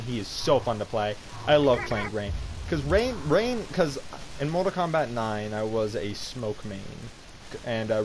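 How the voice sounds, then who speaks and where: Guy streaming a game, rambles casually and knocks himself, average pitch, noisy game sound behind him. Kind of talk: casual rambling gameplay commentary. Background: video game ambience.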